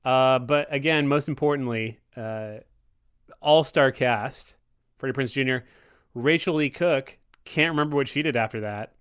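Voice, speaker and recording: A man chatting casually on a podcast, rambling and halting, with a tongue-in-cheek way of talking. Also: medium pitch, a bit bright, clear, resonant tone. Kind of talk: casual movie chat.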